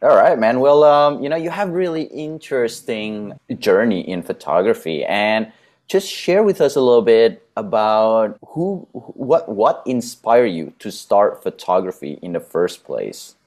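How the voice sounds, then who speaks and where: A man's voice: lowish voice, very animated, unhurried, a little mellow. A man hosting a podcast interview, asking casual questions with plenty of "um" fillers. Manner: informal, filler-heavy questions. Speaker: podcast host.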